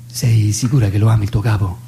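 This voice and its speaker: A man's voice: lowish voice, fairly even intonation, fast talker, gravelly, dark tone, a little hiss or hum. An actor playing an insecure, pedantic young man in a theatrical phone dialogue, hesitant and prone to repeating himself. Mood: insecure, subdued. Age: young adult. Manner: hesitant, repetitive, nitpicking. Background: acoustic guitar accompaniment.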